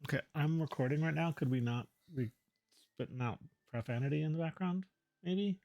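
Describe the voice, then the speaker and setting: A man's voice: a little high, a little fast, creaky, a little mellow, highs cut off. A man chatting casually off script at his microphone, sounding exasperated and mildly scolding. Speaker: video creator, voice performer.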